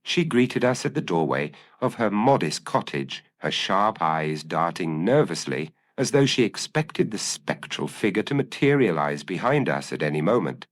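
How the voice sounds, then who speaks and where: A man's voice: sits low, very animated, normal speed, hardly any pauses. A man reading scripted narration of a gothic mystery story.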